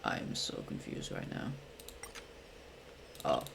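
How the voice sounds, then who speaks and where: A man's voice: slow pace, noisy background. A young man giving casual, slangy let's-play commentary, grumbling offhandedly as he plays. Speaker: gaming streamer. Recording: game music under the voice. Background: loud game music.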